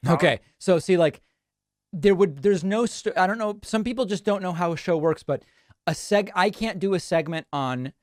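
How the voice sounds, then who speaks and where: A man's voice: fairly high pitch, no room sound, studio quality. A man hosting a live show, talking casually and matter-of-factly with a dismissive streak. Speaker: show host. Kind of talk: casual live commentary on voicemails.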